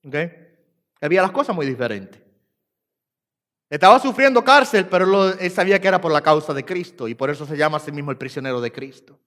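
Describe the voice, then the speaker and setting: A man's voice: on the high side, lively intonation, fast, a bit bright. A man with a Puerto Rican accent preaching a sermon as pastor, repeating phrases for emphasis and rising and falling in force. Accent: Puerto Rican Spanish. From Puerto Rico. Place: church sanctuary. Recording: church hall reverb.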